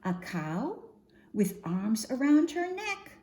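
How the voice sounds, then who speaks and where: A woman reading a picture book aloud for young children in an expressive, singsong storytime manner, often posing questions to her listeners. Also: sits high, takes it slowly. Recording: roomy echo, faint background noise.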